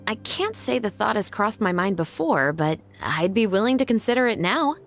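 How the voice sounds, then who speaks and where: Cool, dry, expressive patrol captain. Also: female voice, medium pitch, moderate pace. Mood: soft, reflective. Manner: casual, dry, understated. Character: cool, sardonic female special patrol captain. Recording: long reverb, music underneath.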